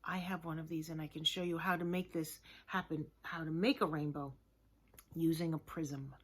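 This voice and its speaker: A woman teaching young children in a lesson to camera, speaking simply and encouragingly with deliberate, emphatic phrasing. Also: deep voice, fairly even intonation, husky.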